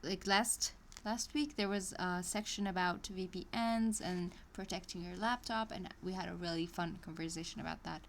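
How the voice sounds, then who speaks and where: Lower-voiced woman streaming her study session. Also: steady tone, normal speed, few pauses, slight background noise. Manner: reads course text aloud, breaking off into chatty asides and small laughs; hesitant, many ums and restarts. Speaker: live streamer working through an online course. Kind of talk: casual live-stream reading with commentary.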